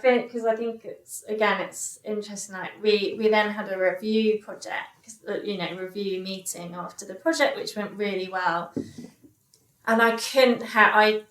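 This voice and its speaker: A woman's voice: mid-range voice, fairly bright tone. Woman telling a story in a roomy echo. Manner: halting, many restarts and fillers, quotes others. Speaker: podcast guest. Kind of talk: candid interview storytelling. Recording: echoey room.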